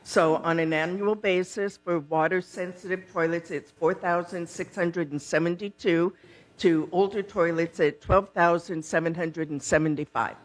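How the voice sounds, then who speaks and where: Woman explaining, with frequent pauses. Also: on the low side, steady tone, low-quality recording. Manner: explanatory, pausing often mid-sentence. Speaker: board candidate at a community forum. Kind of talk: impromptu informational remarks at a meeting.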